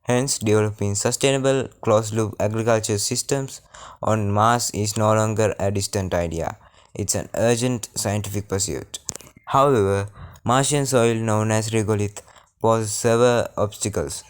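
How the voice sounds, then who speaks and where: A young man from Sri Lanka reading a prepared science project presentation in a formal, measured way. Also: fairly low pitch, clear, resonant tone. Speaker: student presenter.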